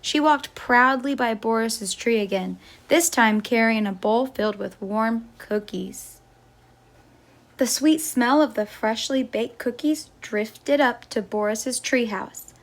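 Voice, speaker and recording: A woman's voice: fairly high pitch, slow. A woman storyteller reading a picture book aloud for children, as a teacher would.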